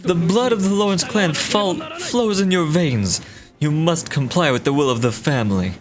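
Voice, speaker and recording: Man acting a high-voiced, pompous villainous nobleman. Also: animated, normal speed, close to an everyday voice. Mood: stern. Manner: pompous, imperious, theatrical, formal. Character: pompous, scheming older nobleman uncle, indignant villain. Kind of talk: dramatic video game voice acting.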